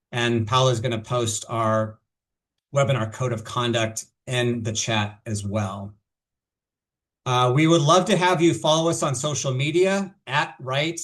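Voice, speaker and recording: A man opens an online legal panel and walks through its housekeeping. His voice sits at an everyday middle pitch, and his delivery is steady and procedural, full of small "uh" and "um" fillers. He sounds like he is speaking over a video call. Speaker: webinar host.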